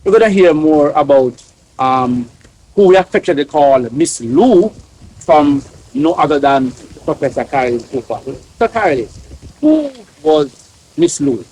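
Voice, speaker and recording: A man's voice: fairly high pitch, lively intonation, normal speed, a little mellow, slight background noise, rough recording. A middle-aged man with a Jamaican accent hosting an outdoor interview, affirming his guest often. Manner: affirming, frequent encouraging interjections. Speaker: interview host. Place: outdoor courtyard under a large tree.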